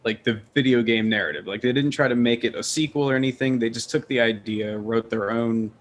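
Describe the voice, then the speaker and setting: A man's voice: medium pitch, steady tone, a little fast. A man chatting casually as a panelist on a podcast. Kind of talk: casual chat.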